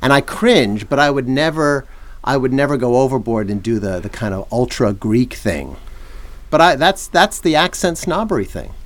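A middle-aged man co-hosting a podcast, talking in a wry, teasing, measured way. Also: mid-range voice, sing-song. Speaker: podcast co-host. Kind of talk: casual chat.

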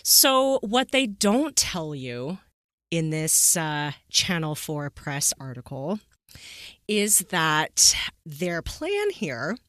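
A woman's voice: low voice, very animated, drawn-out words, fairly bright tone, no echo, clean studio sound. A woman hosting a podcast in a conversational, emphatic way, often checking in with rhetorical questions. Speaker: podcast host.

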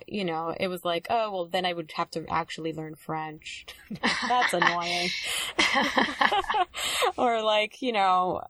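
Young woman, thoughtful and halting, slightly low voice, dry close mic. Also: fairly bright tone, no room sound. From Dominican Republic. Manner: reflective, hesitant, many fillers and restarts. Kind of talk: casual conversation.

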